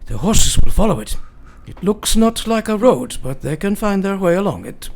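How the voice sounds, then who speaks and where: An elderly foreign professor who hunts vampires, grave and devoted. His voice sits fairly high and is thick with creak. He speaks in solemn, broken sentences, as in a gothic radio drama. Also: big pitch swings, darkish tone, a little hiss or hum, full character voice. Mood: brisk, concerned. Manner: foreign-sounding broken grammar, solemn, deliberate. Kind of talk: radio drama acting, dramatic journal narration.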